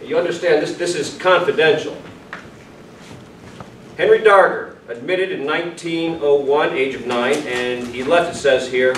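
A man playing a defensive, officious director of a boys' institution in a staged play reading, emphatic and halting. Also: a little high, a bit bright. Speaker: stage actor. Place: small indoor venue with audience. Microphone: far camera. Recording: echoey room, distant mic, faint noise.

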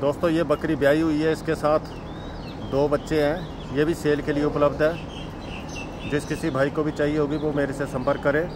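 A man's voice: little pitch movement, moderate pace. A man from Himachal Pradesh showing his goats for sale outdoors at his farm, repeating the same sales lines to viewers he calls friends. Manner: repetitive sales pitch, addresses viewers as friends. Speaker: goat farm seller. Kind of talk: walk-around sales video. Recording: outdoor noise in background.

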